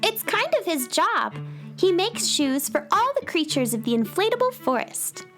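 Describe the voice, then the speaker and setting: A woman's voice: very high voice, big pitch swings, normal speed, a bit bright, faint music behind, full character voice. A woman playing a friendly, earnest young space girl in a children's radio drama, speaking with childlike eagerness. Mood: cheerful, eager.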